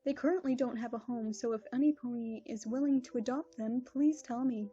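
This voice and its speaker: Woman as a gentle regal pony princess, soft dark tone. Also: on the high side, fairly quick, husky, highs cut off. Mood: composed, formal. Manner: kind, composed, formal.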